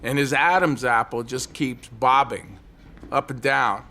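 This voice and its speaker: An actor playing a brooding, desperate man on a ledge in an audio drama, telling his story in an intense, halting, obsessive way. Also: medium pitch, sharp tone, a little hiss or hum. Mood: haunted, reflective. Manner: intense, halting, obsessive monologue with repeated phrases.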